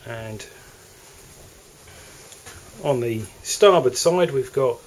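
A man with an English accent walks through the cabins of a moored motor yacht, narrating a sales tour in a relaxed, hesitant way. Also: medium pitch, extremely slow, low-quality recording. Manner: relaxed, hesitant, with fillers and restarts. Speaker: yacht broker. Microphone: handheld camera mic.

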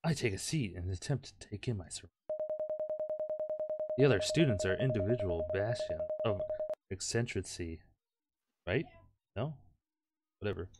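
A man's voice: lowish voice, animated, normal speed, long pauses. A man hosting a gaming video, reading a visual novel aloud with crude, jokey asides. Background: game music.

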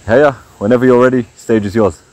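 A man's voice: medium pitch, fairly even intonation, fairly quick, a little mellow. A young man chatting casually as the host of a video, recorded outdoors in a park. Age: young adult. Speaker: host of a history video channel. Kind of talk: casual chat to camera and guest. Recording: outdoor park noise, distant traffic.

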